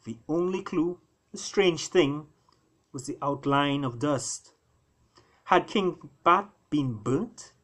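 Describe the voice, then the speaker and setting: A man, an author reading his own work, narrates an animal fable expressively, with deliberate, dramatic phrasing and pauses. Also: fairly high pitch, a bit bright.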